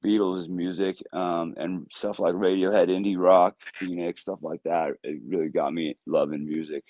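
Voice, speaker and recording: Deep-voiced man, easygoing and dry. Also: fairly even intonation, moderate pace, no room sound, phone-quality sound. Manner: easygoing, laughs often, loose rambling answers. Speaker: music artist guest. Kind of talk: casual interview answers.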